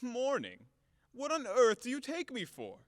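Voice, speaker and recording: An actor playing a brash, hot-tempered, blunt creditor in an audio play of a classic farce, with a blustering, theatrical, emphatic manner. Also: male voice, very high-pitched, huge pitch swings, unhurried, no echo, clean studio sound, in a large hall, heavily acted. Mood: mocking, indignant.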